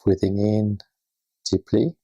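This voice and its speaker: Low creaky voice doing a guided meditation, gentle and soothing, breathy. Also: little pitch movement. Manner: gentle, soothing, unhurried instruction.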